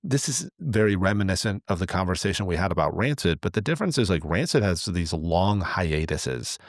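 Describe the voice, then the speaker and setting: Deep-voiced man, halting podcast talk. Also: sing-song, no room sound, clean studio sound. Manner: halting, repeats words as he forms thoughts. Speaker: podcast co-host. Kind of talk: conversational podcast commentary.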